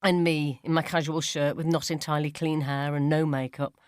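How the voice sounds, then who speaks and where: Low-voiced woman narrating a ghost story. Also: flat delivery, smooth, no room sound, studio quality. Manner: suspenseful, measured, acts out dialogue. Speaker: narrator reading a short story. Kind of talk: scripted dramatic first-person ghost story narration.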